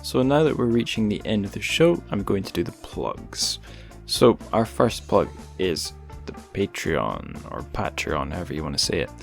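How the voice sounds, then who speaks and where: A male podcast host with a fairly low voice, easygoing and a little rambling as he chats. He slips in dry little jokes. Faint music sits in the background. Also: normal speed, vocal fry. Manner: relaxed, rambling, light joking. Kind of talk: casual podcast chat and plugs.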